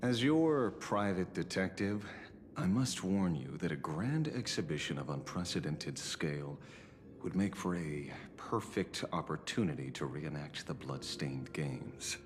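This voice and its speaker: An actor voicing a wary, brooding private detective in a video game, grave and deliberate. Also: male voice, rumbling low, expressive, noisy background. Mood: wary, skeptical.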